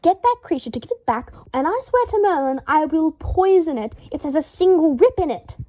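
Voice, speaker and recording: A woman putting on a vain, high-strung young man who screeches in outrage over ruined clothes. His voice climbs high and shrill. The recording is dull and lo-fi. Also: expressive, a little fast. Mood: wailing. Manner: howling, shrill outbursts.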